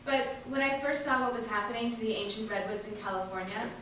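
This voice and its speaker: A woman giving an earnest, emphatic dramatic reading of a first-person monologue, with deliberate pauses. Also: on the high side, steady tone, a bit bright. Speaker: reader performing an activist's first-person testimony. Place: large indoor event space, distant microphone. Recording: echoey hall from far off, faint noise.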